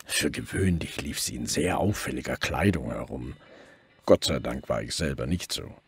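An actor playing a weary, awkward middle-aged police detective who narrates and speaks in an audio drama. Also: male voice, very deep voice, normal speed, husky, no echo, full character voice. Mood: reflective.

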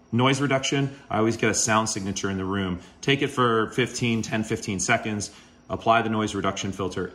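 A man in his forties, a podcast host, walking through a casual how-to at home with a low voice and a relaxed, rambling manner full of fillers. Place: home kitchen, indoors.

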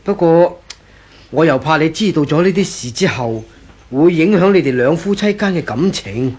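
A man's voice: fairly high pitch, animated, normal speed, darkish tone, a little hiss or hum, lightly acted. An actor playing a hesitant, gossiping employee in a 1980s Hong Kong Cantonese radio drama, stammering and sighing as he feigns reluctance. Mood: reluctant, hedging.

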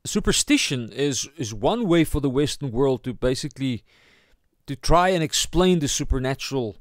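A man with a South African accent giving an informal sermon as a pastor, halting as he searches for words. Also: mid-range voice, animated, no room sound, studio quality. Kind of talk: informal sermon, Bible teaching.

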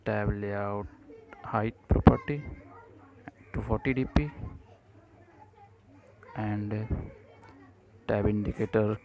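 Slow, mellow man giving a coding tutorial. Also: fairly low pitch, fairly even intonation, gravelly, a little hiss or hum, rough recording. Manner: halting, short clipped phrases. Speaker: programming tutorial presenter. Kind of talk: unscripted screencast coding tutorial.

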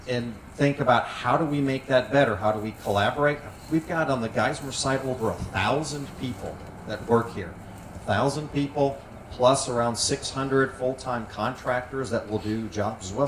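A middle-aged man speaking on a panel as a site manager, earnest and full of fillers, into a handheld mic in a large tent. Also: mid-range voice. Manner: earnest, with frequent fillers and repeated words. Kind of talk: panel discussion. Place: large tent at an outdoor event. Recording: roomy sound from some distance, faint noise.

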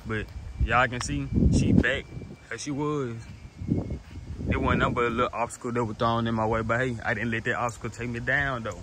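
A man's voice: medium pitch, steady tone, brisk. A nineteen-year-old man talks casually to the camera in a rambling vlog, repeating himself and restarting his sentences as he walks around outside. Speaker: car vlogger. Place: outdoors in a driveway beside a car. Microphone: phone held at arm's length. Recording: outdoor phone audio with background noise.

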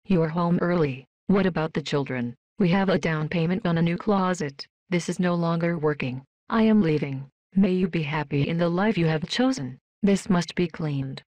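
A woman voicing a polite, emotionless female robot worker in a video game, with flat, stilted, deadpan delivery. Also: on the low side, moderate pace, few pauses, no echo, muffled recording, lightly acted. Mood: flat, cold. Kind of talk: scripted video game voice lines.

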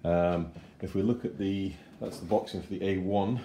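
A man in his forties giving a chatty, unhurried model kit review at his kitchen table. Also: low-pitched, warm tone, a little hiss or hum. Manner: chatty and unhurried, with fillers and self-corrections. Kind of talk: casual unboxing review.

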